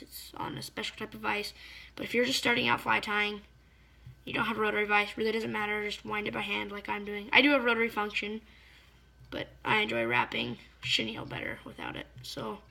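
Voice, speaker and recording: A slightly hoarse woman giving a casual hands-on fly-tying tutorial, chatty and often stopping to search for a word. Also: medium pitch, sharp tone. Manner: chatty, stops to search for words.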